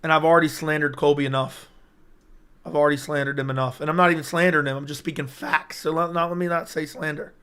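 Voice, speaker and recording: A man's voice: on the high side, level tone, fast talker, sharp tone, clear, resonant tone. A young man hosting a casual livestream and reading viewer comments, his strained voice cutting in and out as he rambles with hype. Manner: hyped, rambling, talks straight to chat. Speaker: MMA YouTuber hosting a livestream. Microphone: personal streaming mic.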